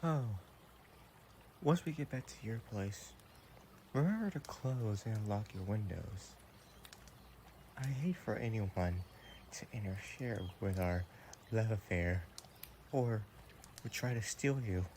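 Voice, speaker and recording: Slow, mellow man playing a vampire boyfriend. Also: lowish voice, lively intonation, slight background noise. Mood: tender, intimate. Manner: slow, tender, teasing. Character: possessive, romantic male vampire boyfriend, teasing and doting. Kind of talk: intimate audio roleplay addressed to the listener.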